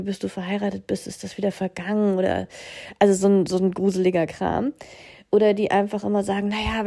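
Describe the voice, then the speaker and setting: Hoarse woman with a cold, gravelly. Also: medium pitch, darkish tone. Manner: thoughtful, at times breathy and whispery. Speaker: podcast host and coach. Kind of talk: casual reflective podcast monologue. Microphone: phone.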